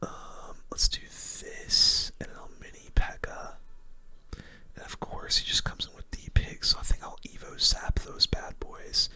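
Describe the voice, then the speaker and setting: Someone whispering casual, laid-back game commentary in a soft, breathy ASMR style, close to the microphone. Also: unhurried. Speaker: gaming video creator.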